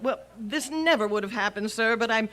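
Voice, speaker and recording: A woman's voice: fairly high pitch, normal speed, fairly bright tone, lightly acted. A woman playing a wry, self-deprecating schoolteacher with dry asides in an old-time radio comedy. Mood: flustered, polite.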